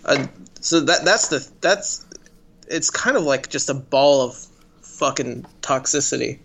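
A man's voice: medium pitch, a little slow, vocal fry, a bit bright, a little hiss or hum. A man co-hosting a podcast, casually discussing film in a hesitant, circling way. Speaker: podcast co-host.

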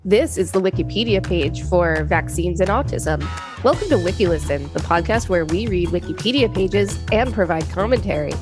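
A woman's voice: fairly low pitch, animated, normal speed, over music. A woman co-hosting a podcast, reading an encyclopedia article aloud and commenting on it. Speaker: podcast co-host.

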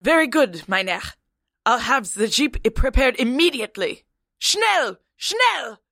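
A woman's voice: a little high, lively intonation, normal speed, a bit bright, no echo, clean studio sound. A woman voicing a dutiful, earnest German military doctor with a German accent in a comedy. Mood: obedient, brisk. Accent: mock German. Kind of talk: comedic character acting.

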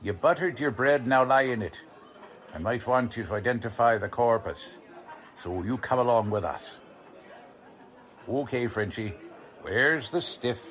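An actor playing a gruff, bossy police lieutenant with tough-guy slang and brusque wisecracks, in 1940s radio drama style. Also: medium pitch, measured pace, pauses often, a bit bright, some chatter behind, close to an everyday voice. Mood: sardonic, firm. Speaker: police detective character.

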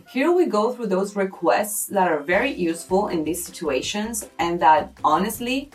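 A woman teaches in an unhurried, deliberate way, spelling each point out clearly. She sounds a little distant from the microphone. Soft music runs faintly underneath. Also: medium pitch. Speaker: language teacher. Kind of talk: scripted lesson narration.

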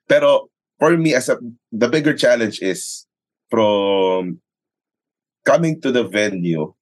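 A man's voice: on the low side, animated, takes it slowly, dry recording. A Filipino man, a sports radio commentator, chatting casually in an interview, explaining things and often checking for agreement. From Philippines. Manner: explanatory, often seeks agreement with tag questions. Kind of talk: casual interview chat mixing Tagalog and English.

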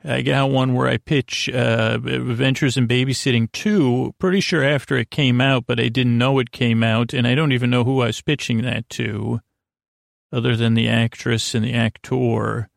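Male sleep podcast host: gravelly creak, dry sound, halting goofy meanders, self-deprecating and non-threatening. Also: medium pitch, steady tone, dry recording. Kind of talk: rambling bedtime sleep podcast monologue.

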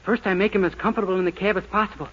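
An actor playing a naive, boastful, earnest young small-town cab driver in a 1940s radio sitcom. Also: male voice, high voice, fairly even intonation, rapid-fire. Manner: eager, guileless, cheerfully self-assured. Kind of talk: 1940s radio situation comedy acting. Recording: noisy, dull 1940s radio recording.

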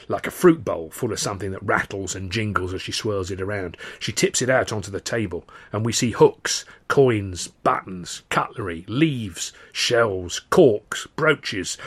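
A man voicing an excitable working-class storyteller spinning a yarn, with a British accent and a colloquial, dramatic delivery. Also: lowish voice, measured pace, hardly any pauses, slightly rough. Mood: suspenseful.

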